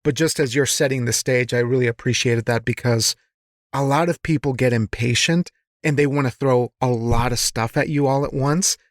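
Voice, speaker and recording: A man's voice: mid-range voice, steady tone, normal speed, no echo. Man hosting a podcast, close dry sound. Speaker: podcast host, interviewer. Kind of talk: casual interview chat.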